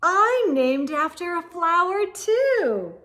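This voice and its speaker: A proud, kindly lady music teacher from a storybook, voiced by a woman reader. She speaks slowly and reassuringly, with a high, melodic lilt. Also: big pitch swings, pushed and bright, heavily acted. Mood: gracious. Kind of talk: children's storybook character voice.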